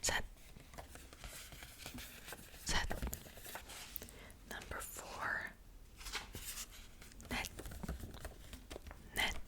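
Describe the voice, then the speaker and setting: A young woman with a Czech accent, whispering a slow, repetitive ASMR language lesson. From Czech Republic. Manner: soft whisper, slow and repetitive drilling of words. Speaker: ASMR creator teaching Korean.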